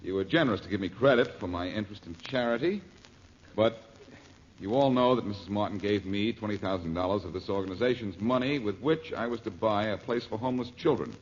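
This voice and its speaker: An actor playing an earnest, resolute detective hero, polite and firm, in a 1940s radio drama. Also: male voice, fairly low pitch, fairly quick, natural voice. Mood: earnest, apologetic. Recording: dull, noisy 1940s radio recording.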